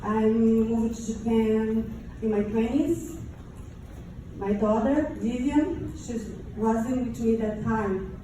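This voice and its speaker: A woman from Brazil with a Brazilian Portuguese accent gives a personal testimony to an audience, haltingly and in non-native English. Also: on the high side. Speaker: survivor giving testimony. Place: large echoey room, before an audience. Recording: very echoey room, distant mic, faint noise.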